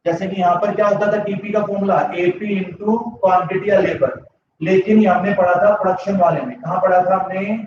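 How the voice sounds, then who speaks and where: A man's voice: high voice, fairly even intonation, moderate pace, noisy background. A man teaching an economics class online, speaking with a sore throat, mixing English terms into his talk and asking students yes-or-no questions. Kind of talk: live online class lecture. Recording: echoey, distant, slightly dull.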